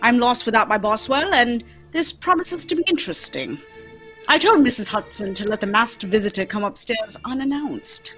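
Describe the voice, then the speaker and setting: A keen gentleman detective from an old-time radio play, played by a woman. The voice is high and very animated, theatrical and courteous, explaining with brisk confidence. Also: over music, natural voice. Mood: courteous, assured.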